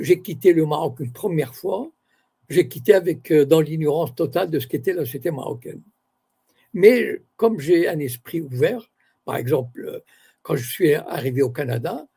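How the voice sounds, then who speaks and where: An older man from Morocco, an author and academic, speaking as an interview guest in a measured way, often pausing to search for words and correcting himself. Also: a little high, gravelly, dark tone, husky. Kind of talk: interview guest, reflective talk.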